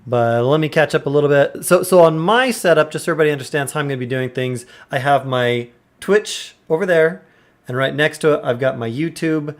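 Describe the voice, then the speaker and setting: A man in his thirties chatting casually on a live stream from a quiet room at home, keeping his voice low on purpose and greeting viewers by name. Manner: deliberately quiet, subdued voice. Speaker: game streamer. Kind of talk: casual live-stream chat while gaming.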